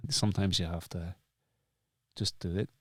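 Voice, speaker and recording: A man's voice: low voice, fairly even intonation, moderate pace, breathy edge, dry recording. A man hosting an interview in reflective conversation, rephrasing and repeating his points for emphasis. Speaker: podcast host and interviewer.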